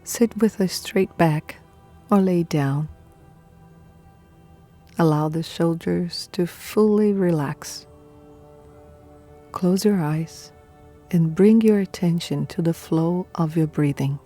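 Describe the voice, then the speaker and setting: A woman guiding a meditation in a calm, unhurried, soothing manner, leaving pauses between short phrases. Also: fairly low pitch, faint music behind. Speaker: meditation guide. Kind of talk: guided meditation, scripted narration.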